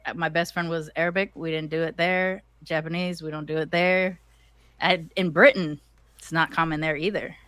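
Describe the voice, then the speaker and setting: A woman hosts a casual podcast interview, blunt and quick with a joke, swearing without a second thought. Her voice sits a little low, and the recording is dry and close, with no room around it. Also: flat delivery, a bit bright, dry recording. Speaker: podcast host.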